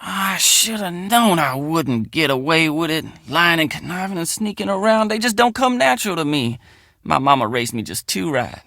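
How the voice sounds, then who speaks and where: An actor playing a folksy, earnest, excitable young country handyman in a video game, with an American Southern accent and a colloquial drawl. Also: on the high side, moderate pace, creaky, no echo, clean studio sound, exaggerated voice. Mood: earnest, confiding. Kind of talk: video game character dialogue.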